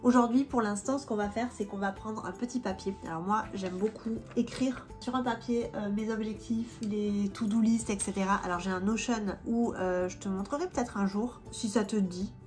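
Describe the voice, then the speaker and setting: A woman's voice: medium pitch, fairly even intonation, moderate pace, few pauses, a little mellow. Woman, 30s, vlogger with a cold; loose, filler-heavy chat, faint music underneath. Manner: chatty, many fillers and restarts. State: stuffy, has a cold. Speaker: vlogger and independent language teacher. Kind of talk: casual vlog chat.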